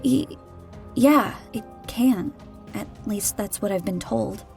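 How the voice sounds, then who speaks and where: A woman's voice: medium pitch, slow, vocal fry, a little mellow, soft background music. A woman playing a defiant young captive girl in a game parody, talking in a casual, blunt, sardonic way. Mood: guarded. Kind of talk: scripted animated game parody dialogue.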